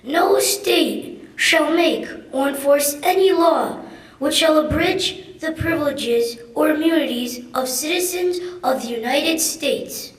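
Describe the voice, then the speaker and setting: A woman's voice: high-pitched, takes it slowly. A young woman, a student, formally reading a document aloud in a hall. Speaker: student reader. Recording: echoey hall, distant mic.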